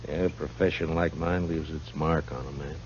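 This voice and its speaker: A noisy old radio western recording of a world-weary American lawman. His voice is very low, and he talks in a blunt, laconic way with dry humor. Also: steady tone, brisk. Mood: guarded, low-key. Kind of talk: 1950s radio western acting. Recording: muffled, noisy early 1950s radio recording.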